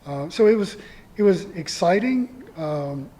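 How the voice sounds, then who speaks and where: A man guest on a theatre podcast with a soft voice, speaking hesitantly with many fillers. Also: sits high, lively intonation, unhurried. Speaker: guest, community theatre actor. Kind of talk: casual interview.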